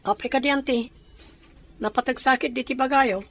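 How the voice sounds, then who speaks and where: A woman's voice: high voice, steady tone, normal speed, creaky, a bit bright, soft background music, full character voice. A woman acting a worried, respectful younger sibling in a radio drama, with an Ilocano accent. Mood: anxious, questioning.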